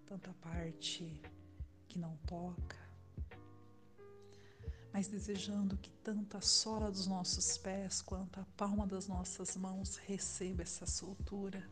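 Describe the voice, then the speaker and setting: A Brazilian woman guiding a relaxation meditation in a soft, soothing way, with long pauses and breathy phrase endings. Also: mid-range voice. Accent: Brazilian Portuguese. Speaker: meditation guide. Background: background music.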